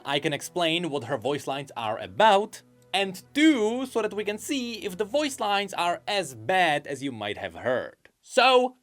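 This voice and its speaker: Lore video narrator: a man with a fairly high voice and lively pitch, faint music under him. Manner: animated, explanatory. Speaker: video essayist on game lore. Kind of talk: scripted commentary.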